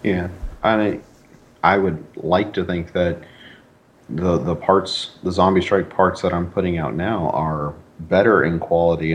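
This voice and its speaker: Male writer as interview guest, deep voice, modest, earnest, conversational delivery. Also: muffled recording. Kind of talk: casual interview chat.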